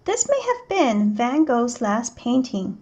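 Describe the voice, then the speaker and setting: High-pitched female teacher, narrating a picture book expressively for children. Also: sing-song, takes it slowly, a little mellow, breathy edge. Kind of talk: children's read-aloud narration.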